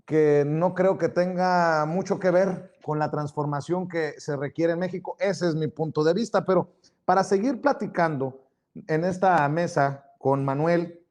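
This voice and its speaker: Mexican guy hosting a politics show, slightly high voice, casual wry chat. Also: a little slow, darkish tone. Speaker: interview host.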